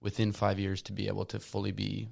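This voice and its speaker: Flat, gravelly young man. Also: on the low side, fast talker. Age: about twenty. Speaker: band musician guest. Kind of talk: casual interview answers.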